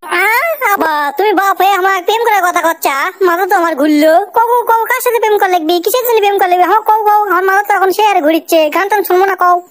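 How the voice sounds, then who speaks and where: A man voicing an excitable, scheming teenage son in a comic cartoon, whiny and brash, with a pitched-up effect. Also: very fast, a little hiss or hum. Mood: excited, curious.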